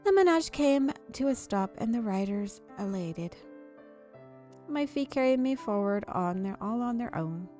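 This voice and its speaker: A woman reading a visual novel aloud for a gameplay video, expressive and dramatic, drawing out her delivery. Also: a little high, a little slow. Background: game music.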